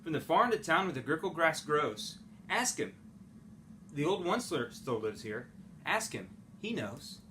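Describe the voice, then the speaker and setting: A man's voice: on the high side, sing-song, moderate pace, background noise. A man reading a children's story aloud like a teacher, expressively and with dramatic phrasing.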